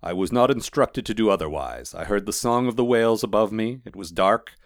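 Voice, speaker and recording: An ancient clay golem from a storybook, voiced by a man, rumbles in a very low register. He is solemn and formal, with a blank, fatalistic weariness. The words come unhurried in a dry acoustic. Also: expressive, no room sound. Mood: solemn, resigned.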